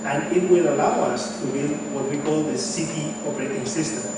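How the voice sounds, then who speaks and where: Echoey, halting Spanish-native man in a hall. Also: a little high, far from the mic, heavy background noise. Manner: halting, many fillers and restarts. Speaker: conference speaker. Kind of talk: technical conference presentation. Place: conference hall. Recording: echoey hall, mic from afar.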